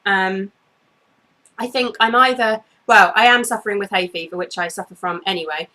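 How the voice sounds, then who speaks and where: Woman, 30s, crafting vlog host; bright tone, sniffly, rambling and apologetic. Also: mid-range voice, normal speed. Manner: chatty, apologetic, goes off on tangents with many ums. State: a bit sniffly. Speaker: knitting podcast host. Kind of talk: casual video podcast chat. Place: bedroom at home, indoors.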